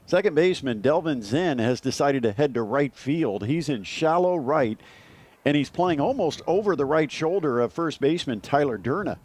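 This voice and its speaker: A male baseball commentator with an American accent and a somewhat high-set voice. He describes and analyses plays in the style of a live game broadcast. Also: animated, moderate pace, darkish tone.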